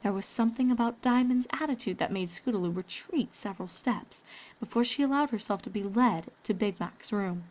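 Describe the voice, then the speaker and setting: A woman's voice: mid-range voice, brisk, darkish tone. A woman reading scripted story narration as the narrator of a fan fiction.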